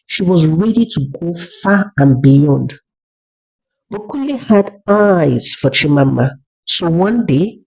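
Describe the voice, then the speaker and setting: A narrator telling a scripted folktale in a measured way, as a storyteller. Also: medium pitch, darkish tone. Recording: dull lo-fi recording.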